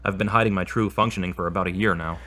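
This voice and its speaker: A man's voice: low-pitched, steady tone, fast talker, sharp tone. A man acting as a troubled, self-doubting therapy patient in a scripted audio drama, speaking in an earnest, confessional way. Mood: ashamed. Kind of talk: scripted audio drama dialogue and spoken prayer.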